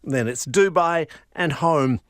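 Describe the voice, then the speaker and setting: Male reporter, measured scripted read, slightly high, dry booth. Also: dry recording. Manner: crisp, measured news delivery.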